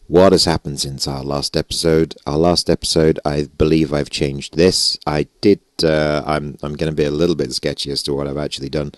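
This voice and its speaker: A British man with a hoarse, gravelly voice, rambling and joking at himself through casual game commentary on a close stage mic. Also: rumbling low, dry recording. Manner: rambling, self-mocking, chuckling. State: hoarse, gravelly voice after losing it. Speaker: gaming YouTuber. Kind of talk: casual let's-play commentary. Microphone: stage vocal mic close up.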